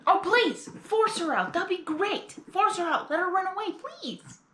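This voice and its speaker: A woman's voice: very high-pitched, expressive, unhurried, a bit bright, echoey room, a little hiss or hum. A woman giving casual, exclamatory live reaction commentary with indignant asides. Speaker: reaction video host.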